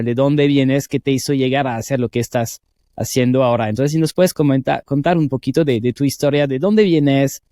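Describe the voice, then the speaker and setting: A man hosts a podcast in a dry, close-sounding recording. His voice sits at an average pitch. He speaks enthusiastically and fills his pauses with hesitations. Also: no room sound. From France. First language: French. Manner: enthusiastic, warm praise with frequent hesitation fillers. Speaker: podcast host. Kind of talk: scripted intro, then casual interview chat.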